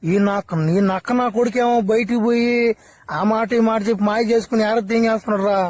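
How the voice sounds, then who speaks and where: Very high-pitched ranting cartoon man playing a loudmouth. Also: steady tone, quick pace. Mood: complaining. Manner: ranting, slangy, exclamatory. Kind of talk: Telugu comedy cartoon spoof dubbing.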